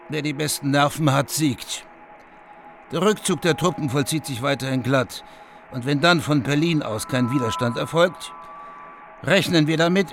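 A male actor plays a commanding general in a radio play, his fairly high voice full of gravelly creak. He is blunt and condescending, coolly sure of victory, with music underneath. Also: lightly acted. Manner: brusque, military, condescending. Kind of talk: radio drama acting.

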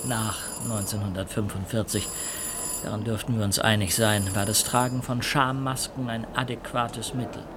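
An actor playing an aloof older conductor, measured and haughty. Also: male voice, lowish voice, level tone, noisy background, close to an everyday voice. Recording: music playing underneath.

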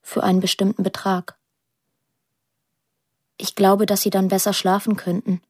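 An actress playing a young woman who narrates a radio drama in a plain, reflective, measured way. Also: mid-range voice, flat delivery, a little mellow, no room sound, clean studio sound, natural voice. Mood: reflective, confiding. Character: pensive young woman narrating her estranged family.

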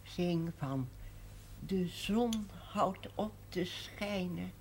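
A woman's voice: fairly low pitch, very slow, darkish tone, slight background noise, rough recording. An actress playing a weak, ailing, tender woman in a television period drama, on an old soundtrack. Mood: wistful, faint. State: weak, in pain.

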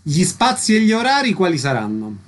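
Male radio host, higher pitch, brief direct questions. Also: huge pitch swings, brisk, a little hiss or hum.

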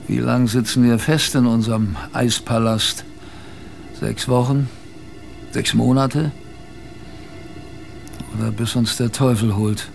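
A gravelly, wry polar survivor narrating. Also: male voice, medium pitch. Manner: wry, colloquial storytelling. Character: plainspoken male polar expedition survivor recalling hardship, wry. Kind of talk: conversational radio drama narration.

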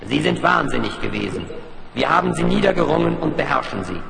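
Ein Schauspieler spricht in einem Science-Fiction-Hörspiel ein strenges Kollektivwesen, das feierlich und förmlich durch einen Besessenen redet. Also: male voice, on the high side, sing-song, gravelly, a crowd in the background, heavily acted. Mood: solemn, detached. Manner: solemn, formal, measured pronouncements. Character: stern collective alien mind speaking through a possessed man. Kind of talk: 1970s German science fiction radio drama acting. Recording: echoing long reverb, faint noise.

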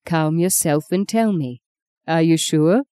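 A woman narrator plays a steadfast mother in a storybook drama, holding grief under firm control. The tone is mellow and soft-edged, stern yet tender, recorded very dry. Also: deep voice, unhurried, clean tone, no echo. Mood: controlled urgency. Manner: controlled, firm, gentle, unfaltering. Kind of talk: dramatic audiobook character voice.

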